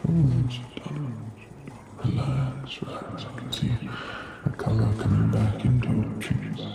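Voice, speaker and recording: A man playing a gentle, awkward Death in an ASMR roleplay, soothing a patient in a breathy, hesitant half-whisper. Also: medium pitch, steady tone, a little slow, loud music behind the voice. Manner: hesitant, soothing, repetitive, slightly formal.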